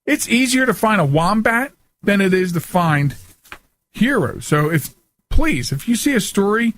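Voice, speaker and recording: Higher-pitched American man hosting a podcast. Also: animated, a little slow, fairly bright tone. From United States. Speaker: talk show host. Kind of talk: casual, unscripted podcast talk.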